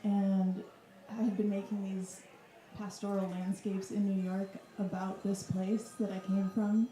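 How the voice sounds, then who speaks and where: Woman from wisconsin, thirties, warm dark voice, reflective, roomy club sound. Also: medium pitch, level tone, a little slow, a little hiss or hum. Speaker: artist guest. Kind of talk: casual reflective talk. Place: club room with audience. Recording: echoey room.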